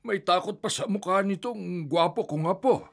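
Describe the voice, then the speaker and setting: An actor playing an older grandfather who gently reassures his grandson in a radio drama. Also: sits high, moderate pace, creaky. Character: worried grandfather of the family.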